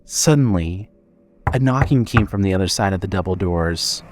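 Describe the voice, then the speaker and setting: A man narrating a scripted horror audio drama in a measured, dramatic storytelling manner. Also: sits low, animated, noisy background.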